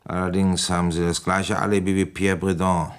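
A man's voice: low voice, flat delivery, moderate pace, close to an everyday voice. A man playing a dogged, feverish, suspicious police commissioner in a German radio play, speaking with probing, dry weariness. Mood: suspicious, pressing. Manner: probing, insinuating, narrates in dry retrospect. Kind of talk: German radio play acting and first-person narration.

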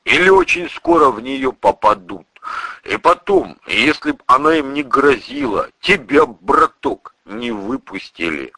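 Guy doing a big gruff ex-gangster priest for an audiobook, slangy and teasing, raspy, swings up and down. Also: mid-range voice, huge pitch swings, a little slow, sharp tone, no echo, pitched above the performer's own voice, full character voice, rough recording. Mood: grave, blunt. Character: burly bearded priest, ex-gangster, folksy, gruff, wry.

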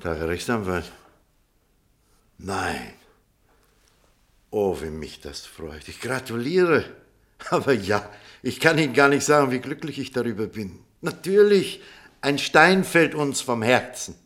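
An actor playing an earnest, conflicted doctor in love in a German radio drama, speaking in a formal, insistent manner. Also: male voice, medium pitch, expressive, a little slow, pauses often, a bit bright. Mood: relieved, joyful.

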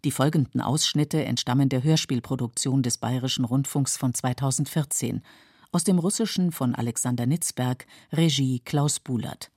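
A woman with a mellow, husky voice reading scripted documentary narration. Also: rumbling low, normal speed, dry recording, clean studio sound.

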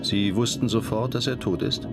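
A man playing a terse, patient police detective who questions in a measured, matter-of-fact way in a radio crime drama. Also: on the low side, steady tone, lightly acted. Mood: calm, terse. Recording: music behind the voice.

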